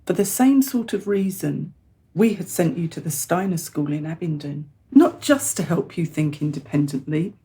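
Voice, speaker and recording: A woman's voice: lowish voice, warm tone, natural voice. A woman playing a caring, practical mother in a radio play, explaining things in a motherly way. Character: caring, practical mother who reasons gently.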